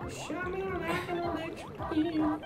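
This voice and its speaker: A young adult co-hosting a co-op video game session, teasing the partner with shouty exclamations and squeals. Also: very high voice, flat delivery, measured pace, over music. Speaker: gaming channel co-host. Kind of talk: playful co-op gameplay commentary.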